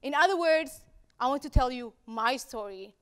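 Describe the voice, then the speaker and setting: A young woman from Somalia delivers a prepared talk from a dark auditorium stage. Her voice sits high, and she leans on repeated phrases with measured pauses between them. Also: expressive, clear, resonant tone. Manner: rhetorical repetition, deliberate pauses. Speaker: speaker at a campus talk event. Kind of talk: prepared public speech.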